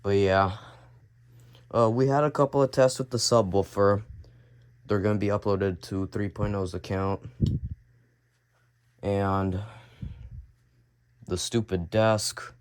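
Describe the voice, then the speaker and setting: A young man with a cold, his voice deep and raspy, rambling casually as he films a tour of his home basement. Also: fairly even intonation, takes it slowly, pauses often. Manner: rambling, repeats and restarts as he shows things. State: sick, coughing. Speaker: vlogger.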